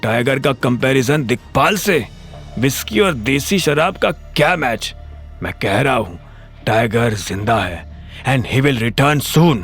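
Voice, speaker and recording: A man's voice: mid-range voice, expressive, slow, a bit bright, soft background music, pitched below the performer's own voice. A man playing a drunken, loyal gangster's follower in an audio drama, boastful and defiant, with a drawl. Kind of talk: Hindi audio drama character acting.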